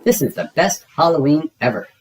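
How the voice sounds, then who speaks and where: A man's voice: fairly high pitch, sing-song, fairly quick, voice pushed up. A man reading a storybook, voicing a cheerful, eager young girl monster who likes to organize her friends. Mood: excited, scheming.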